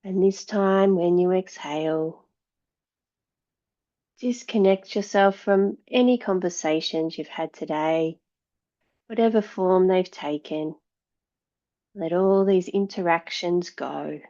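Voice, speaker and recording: A woman teaching yoga, leading a guided meditation in a calm, measured, soothing way with long pauses between phrases. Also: fairly low pitch, lo-fi sound.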